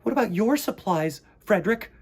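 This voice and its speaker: A man voicing a chorus of eager, anxious little field mice from a children's storybook, exclaiming loudly. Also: high voice, lively intonation, normal speed, a little hiss or hum, voice pushed up. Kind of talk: read-aloud children's storybook.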